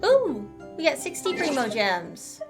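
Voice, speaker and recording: High-voiced woman playfully streaming a game. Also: huge pitch swings, a little slow. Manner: playful, talks to self, sound words. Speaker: gaming streamer. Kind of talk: casual playthrough commentary. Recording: game music behind the voice. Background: video game music.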